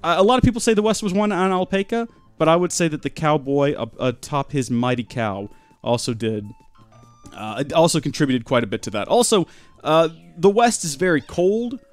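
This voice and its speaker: A young man giving rambling, deadpan joking commentary while streaming a video game live. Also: on the high side, fairly quick, soft background music.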